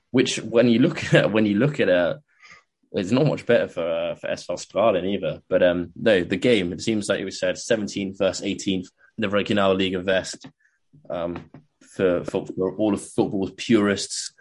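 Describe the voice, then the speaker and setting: Low-voiced man, halting chat. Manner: hesitant, many ums and restarts, chuckles often. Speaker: podcast co-host. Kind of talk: casual chat.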